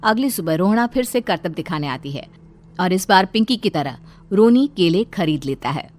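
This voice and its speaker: Steady woman narrating a cartoon tale. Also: on the low side, normal speed.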